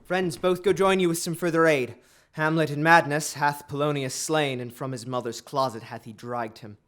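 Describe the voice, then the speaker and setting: A man's voice: fairly high pitch, fairly even intonation, normal speed, fairly bright tone, natural voice. A man playing a troubled, commanding king in a Shakespearean audio drama, declaiming formally.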